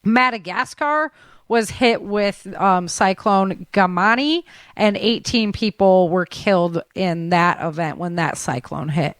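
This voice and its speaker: Chatty woman host reading out updates, clean crisp voice, really dry sound, pretty informal. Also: mid-range voice, takes it slowly, no echo. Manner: chatty, informal, addresses listeners as guys. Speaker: show host. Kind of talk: informal news rundown on a talk show.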